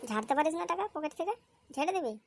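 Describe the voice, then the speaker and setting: A woman's voice: high voice, animated, rapid-fire, gravelly. A woman voicing a cheeky, hungry, scheming young boy in a comic cartoon, pleading and sly. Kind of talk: amateur comic cartoon dubbing. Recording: faint background noise.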